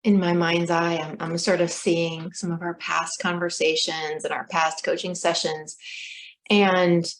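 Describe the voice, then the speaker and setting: A woman life coach speaking slowly and softly on a video call, reflecting back what she hears. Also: fairly low pitch, little pitch movement. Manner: slow, soft-spoken, reflective. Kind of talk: gentle coaching session. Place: home room on a video call.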